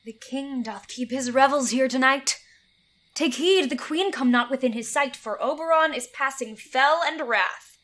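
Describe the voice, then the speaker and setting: A woman's voice: sits high, fairly bright tone. A woman playing a knavish, mischievous hobgoblin sprite in a Shakespearean verse audio play.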